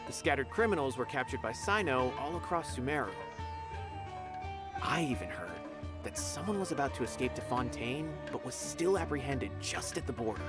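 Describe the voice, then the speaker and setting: A man's voice: mid-range voice, animated, moderate pace, lightly acted. An actor playing a friendly, dutiful young academy officer in a game, earnest and polite as he reports news. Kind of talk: game dialogue. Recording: game audio with loud music behind.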